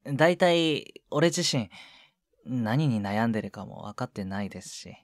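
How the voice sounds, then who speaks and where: A man playing a shy junior boyfriend in an intimate ASMR voice drama, speaking softly and hesitantly with breathy murmurs and casual politeness. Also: mid-range voice, lively intonation, a little slow, no room sound, clean studio sound, close to an everyday voice. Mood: gentle, reluctant. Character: shy younger junior boyfriend, awkward at showing affection. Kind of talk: intimate ASMR situation voice drama, one side of a phone call. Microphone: close, dry microphone.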